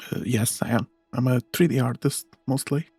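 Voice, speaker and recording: A man's voice: mid-range voice, normal speed, a little mellow, breathy edge, dry recording, soft background music. A man from Portugal, casually answering his viewers as a streamer.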